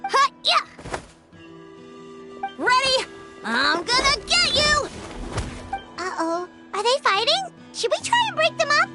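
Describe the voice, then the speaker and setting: A woman voicing a tiny, cheerful, childlike fairy companion in a video game, squeaky and excitable. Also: takes it slowly, long pauses, heavily acted. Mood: curious, concerned. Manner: speaks of self in third person, excitable. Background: music.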